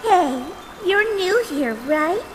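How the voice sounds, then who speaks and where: A woman's voice: very high-pitched, animated, takes it slowly, big-room sound, a little hiss or hum, exaggerated voice. A woman voicing a curious, perky young fish monster in a chatty comic-dub style. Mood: chirpy. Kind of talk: comic dub character acting.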